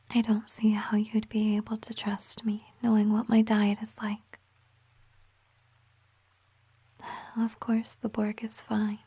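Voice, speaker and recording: A woman voicing a gentle, wistful siren mermaid girlfriend in an intimate ASMR roleplay, speaking in a soft, breathy, hesitant whisper. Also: mid-range voice, dry recording, exaggerated voice. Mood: tender, hesitant. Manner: slow, hushed, tender, hesitant.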